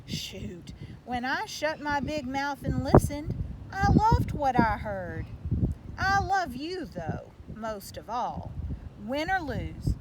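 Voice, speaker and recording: A woman voicing a proud, loving father who coaches baseball in a storybook, with folksy phrasing. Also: a little high. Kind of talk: storybook read-aloud. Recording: loud background noise.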